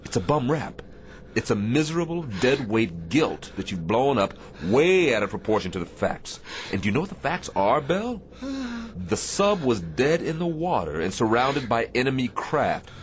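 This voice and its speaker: An actor playing a firm, compassionate but weary Navy captain in a radio drama, speaking with deliberate, commanding emphasis. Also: male voice, medium pitch, sing-song, close to an everyday voice. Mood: urgent persuasion. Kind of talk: dramatic radio theatre acting. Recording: faint background noise.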